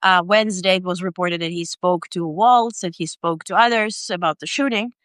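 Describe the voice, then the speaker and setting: A middle-aged woman from Ukraine, speaking English as a second language, with a low and smooth voice. She talks as a guest on a video call and pauses often on fillers. The sound is dry and close. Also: a bit bright, dry recording. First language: Ukrainian or Russian. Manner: hesitant, many fillers. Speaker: independent journalist, guest. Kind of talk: interview conversation.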